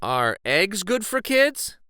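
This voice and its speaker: A man voicing an eager, high-pitched young girl. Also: big pitch swings, takes it slowly, bright, forceful tone, clear, resonant tone, no room sound, clean studio sound, full character voice. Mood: curious. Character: Sophia, earnest, eager, curious young girl in a picture book. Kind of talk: expressive children's read-aloud character voice.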